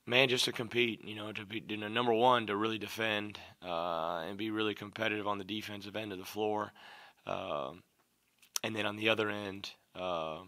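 Low, bright-toned middle-aged coach. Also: male voice, fairly even intonation, no room sound. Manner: measured, lots of "um" and "you know", repeats phrases. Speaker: head basketball coach. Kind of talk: postgame press conference answers. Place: press room, seated at a table before a logo backdrop. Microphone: desk mic on a stand in front of him.

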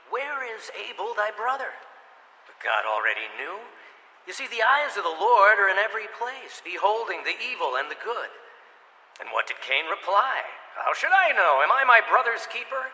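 A fervent male preacher in a radio drama. His high voice swoops as he declaims rhetorically, ringing out in a long hall reverb. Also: big pitch swings, normal speed, sharp tone, soft background music, exaggerated voice. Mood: fervent, exhorting.

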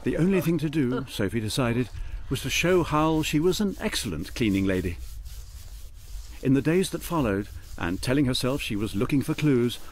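A man's voice: medium pitch, animated, moderate pace, a little hiss or hum. A man narrating a radio drama in a scripted storytelling style.

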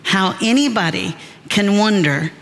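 A woman's voice: medium pitch, measured pace, creaky. A woman, praying and then telling stories as a Bible teacher in a folksy, joking way on a large church stage. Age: 50s. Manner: animated, folksy, self-deprecating humor. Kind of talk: prayer and sermon storytelling. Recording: long hall reverb with soft music.

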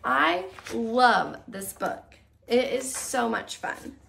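A woman's voice: fairly high pitch, animated, very slow, creaky, a bit bright, a little hiss or hum. A woman in her thirties reading aloud to young children as a preschool teacher, in a sing-song, exaggerated storytelling manner. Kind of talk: animated children's read-aloud. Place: small living room, seated on a couch.

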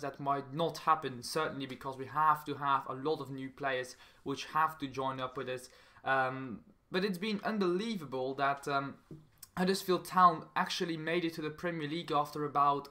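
Young man, Belgian accent, slightly high pitch, bright forceful tone, casual gameplay commentary, halting repeated phrases. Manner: halting non-native English with repeated phrases. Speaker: gaming channel host.

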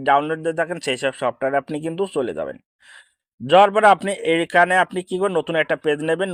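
A man's voice: on the high side, no room sound, clean studio sound. A man hosts an informal how-to tutorial, repeating himself often and addressing viewers as brother. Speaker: tutorial host.

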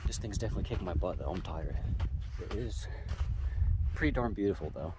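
A young man from Tennessee vlogs his road trip into a handheld camera, his voice sitting low and deep. He tells his stories eagerly, laughing and tripping over his words. Steady outdoor noise runs under everything he says. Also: brisk. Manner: chatty, excitable storytelling with frequent laughs and restarts. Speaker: travel vlogger. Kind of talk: casual solo travel vlog. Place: outdoors at a campsite and on sand dunes.

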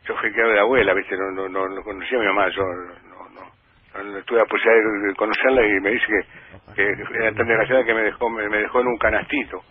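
A man's voice: lowish voice, fairly even intonation, fast, slightly rough. A man from Santa Fe with a provincial Argentine accent, a radio humorist chatting on the phone, rambling and repeating his words. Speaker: humorist and overnight radio presenter, interviewed by phone. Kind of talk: comic chat, telling jokes. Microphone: phone call. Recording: muffled, narrow phone line.

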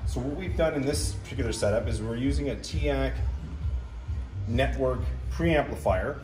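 A man's voice: medium pitch, normal speed. Distant, echoey man explaining equipment. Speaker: sales director. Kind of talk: technical product explanation. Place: hotel conference room at a trade show. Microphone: camera mic a few steps away. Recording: distant, echoey room with background music.

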